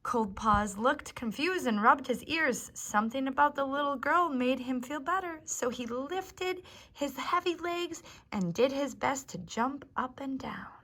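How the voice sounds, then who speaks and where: Female storyteller for young children: slow, deliberate read-aloud, slightly high pitch, encouraging delivery. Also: expressive, fairly bright tone. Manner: simple, encouraging address to young listeners.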